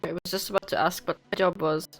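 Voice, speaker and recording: A woman's voice: on the low side, steady tone, fast, low-quality recording. A woman streaming a video game and giving casual live commentary. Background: game music.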